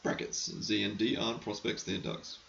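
A man with a low, heavily gravelly voice that is going hoarse hosts a casual hockey podcast. He rambles through listener questions in a frank, chatty way and keeps clearing his throat. The recording is slightly muffled, with faint background noise. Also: little pitch movement, normal speed, a bit bright. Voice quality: gravelly, croaky.

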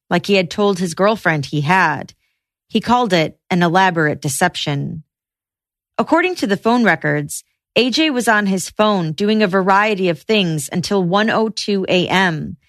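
A woman podcast host narrating trial news in a measured, reportorial way. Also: medium pitch, a bit bright, no echo, studio quality.

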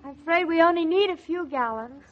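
A woman's voice: very high voice, brisk. A woman acting an anxious, inquisitive, tender young newlywed wife in a 1940s radio drama. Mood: anxious, flustered. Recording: dull vintage 1940s radio recording.

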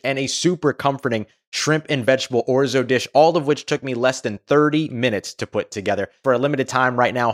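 A man reading a scripted first-person podcast advertisement. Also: mid-range voice. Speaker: ad reader.

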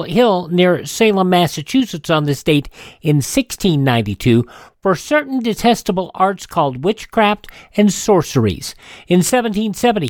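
A man's voice: high voice, dry recording, clean studio sound. A man narrating as a radio host, reading scripted historical notes.

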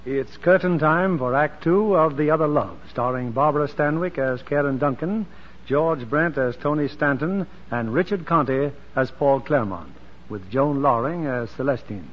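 A man's voice: fairly high pitch, expressive, normal speed, a little hiss or hum. A man hosting and narrating a 1940s radio drama in a scripted style. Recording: muffled 1940s radio broadcast. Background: music.

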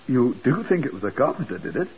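An actor in a 1950s radio drama playing a fussy, self-important British building manager with clipped, questioning delivery. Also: male voice, mid-range voice, very animated, fast talker, slightly rough, heavily acted. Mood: flustered, brisk. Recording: dull vintage 1950s radio recording.

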